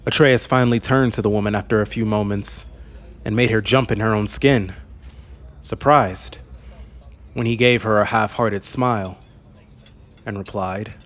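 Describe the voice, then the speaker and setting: A man narrating a scripted fantasy audiobook in a measured, serious way. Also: lowish voice, fairly even intonation, fairly bright tone.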